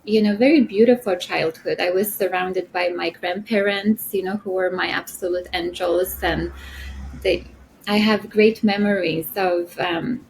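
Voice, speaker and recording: A middle-aged woman from Poland, a podcast guest speaking softly and reflectively with many fillers. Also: lowish voice, fairly even intonation, measured pace, slight background noise, rough recording. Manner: soft-spoken, reflective, many "you know" fillers. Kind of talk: interview conversation.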